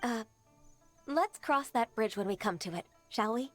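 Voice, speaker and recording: An actress playing a gentle, dutiful female secretary in a fantasy game, speaking politely and formally with some hesitance. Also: fairly high pitch, expressive, normal speed, soft background music. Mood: shy. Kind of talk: scripted video game dialogue.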